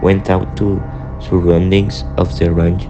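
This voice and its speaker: Very low, flat-toned young male narrator. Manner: halting, uneven phrasing. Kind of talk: amateur scripted radio drama narration. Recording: dull lo-fi sound, music behind. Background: music.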